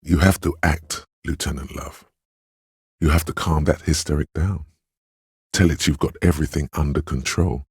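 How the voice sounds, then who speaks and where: A man voicing the inner voice of empathy in a detective's mind, narrating a game in a measured, intimate, knowing way with a gravelly, husky voice. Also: extremely low pitch, steady tone, a little mellow, dry recording, studio quality, exaggerated voice. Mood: sly, urging. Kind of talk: scripted second-person game narration.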